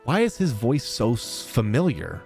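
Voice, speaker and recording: A man who streams games, giving casual, expressive reaction commentary on what happens in the story. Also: mid-range voice, moderate pace, soft background music.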